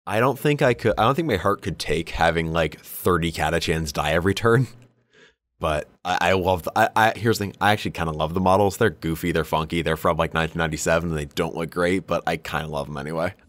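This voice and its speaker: A man's voice: deep voice, fast talker, fairly bright tone, no room sound. A man co-hosting a podcast in casual, wry, informal banter about a hobby. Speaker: podcast co-host.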